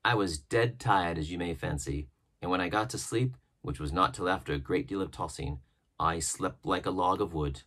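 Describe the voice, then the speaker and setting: A man with a husky voice narrating a classic adventure novel as an expressive storybook reading. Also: deep voice, normal speed. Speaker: audiobook narrator.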